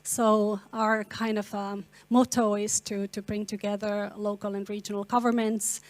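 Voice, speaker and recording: A woman panelist introduces herself to the audience at an ordinary middle pitch. She talks in a measured way and uses a few fillers. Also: flat delivery. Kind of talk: spoken self-introduction.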